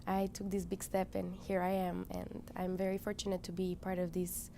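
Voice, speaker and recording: A woman's voice: on the low side, monotone, moderate pace, vocal fry, dark tone, a little hiss or hum. A young woman, a student violinist answering interview questions. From Romania. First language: Romanian. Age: young adult.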